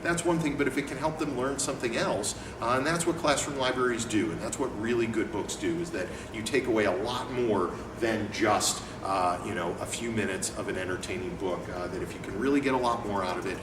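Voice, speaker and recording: A man's voice: lowish voice, a little fast, hardly any pauses. Man in an echoey, noisy room. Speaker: educator and book company owner reading aloud. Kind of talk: picture book read-aloud and informal teaching talk. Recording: echoey room, distant mic, clear background noise.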